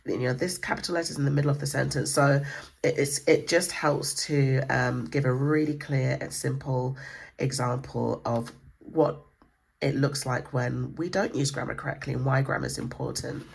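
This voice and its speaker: A woman with a croaky voice from a cold, chatting casually through a book review, with lots of ums. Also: very deep voice, normal speed. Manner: chatty, many ums, relaxed explaining. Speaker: homeschooling channel host. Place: indoors at a table.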